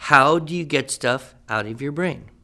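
A man's voice: a little high, normal speed, bright, forceful tone. A man playing an encouraging writing teacher. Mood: patient. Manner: patient, encouraging, clear instruction. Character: patient male writing teacher. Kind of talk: amateur radio drama acting.

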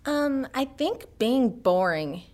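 A talkative, eccentric teenage girl in a classroom radio drama answers slowly and hesitantly. Her voice is high and swings in a lively melody. She draws out each word. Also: full character voice. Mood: eager.

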